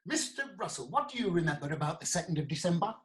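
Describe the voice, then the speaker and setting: A woman's voice: fairly low pitch, big pitch swings, quick pace. A woman playing a formal, persistent lawyer questioning witnesses in a comic courtroom play. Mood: probing. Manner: formal, inquisitive.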